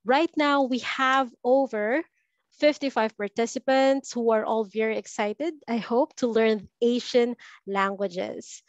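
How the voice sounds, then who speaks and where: A woman's voice: fairly high pitch, fairly even intonation, a little slow, no room sound. A woman from the Philippines hosting an online language webinar over a video call, upbeat and welcoming. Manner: upbeat, welcoming, scripted-sounding. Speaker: host. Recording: muffled video call audio.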